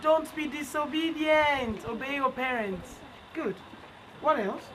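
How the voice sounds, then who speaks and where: A woman's voice: sits high, slow. A woman telling a story to children and repeating its lessons emphatically, like a teacher. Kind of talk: bedtime storytelling and question-and-answer with children. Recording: clear background noise.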